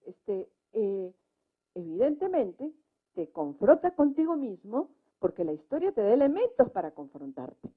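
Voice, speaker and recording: A woman's voice: mid-range voice, very animated, measured pace, husky. A woman speaking spontaneously as a panelist in a debate, making her points emphatically and often checking in with short tag questions. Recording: muffled, narrow-band live recording.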